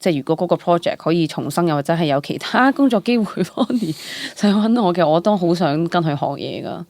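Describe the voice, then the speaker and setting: A young woman with a Hong Kong Cantonese accent chatting casually as an interview guest, animated and dropping English words into her speech. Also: fairly low pitch, fast, dry recording. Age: young adult. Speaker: guest, stage actress.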